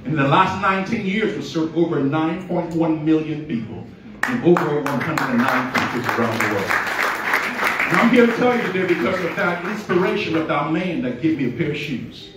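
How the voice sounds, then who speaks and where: A middle-aged Nigerian-accented man speaks with earnest storytelling drive and builds to emphatic peaks. He talks at a brisk clip. His voice comes from across a very echoey hall, caught by a phone out in the audience. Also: a little high, lively intonation, far from the mic, a loud crowd, very noisy. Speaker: award honoree giving a speech. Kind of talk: inspirational speech. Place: large hall at an awards event, red carpet. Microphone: handheld mic, filmed by a phone from the audience.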